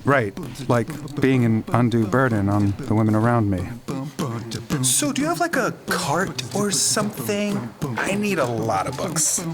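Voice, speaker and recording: Bumbling American teenage boy, lively pitch. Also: measured pace. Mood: breezily oblivious. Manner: hesitant, slangy, repetitive. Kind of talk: audio drama acting.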